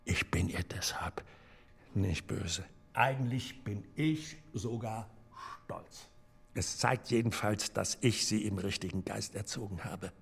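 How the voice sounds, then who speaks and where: A man's voice: takes it slowly, gravelly, a bit bright, heavily acted. A man playing a panicking, pleading prisoner, a loyal party man, in a radio drama. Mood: subdued pride. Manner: frantic, pleading, shrill outbursts. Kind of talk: German radio drama acting.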